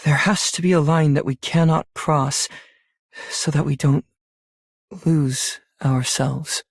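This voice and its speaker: A woman voicing a grave, probing operator in a video game, with solemn, measured delivery. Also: sits low, steady tone, creaky, husky, dry recording, studio quality, full character voice. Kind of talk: scripted game character voice lines.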